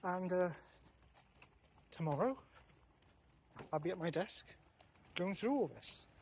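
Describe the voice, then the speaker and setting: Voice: medium pitch, lively intonation, takes it slowly, darkish tone. Casual vlogger with noisy background. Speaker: gaming video creator. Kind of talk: casual vlog chat showing items.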